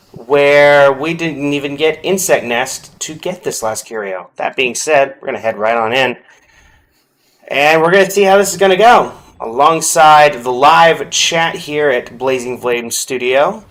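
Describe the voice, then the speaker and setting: A man's voice: on the high side, fairly bright tone. A man doing casual, chatty livestream commentary on a video game, speaking directly to his viewers. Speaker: live streamer.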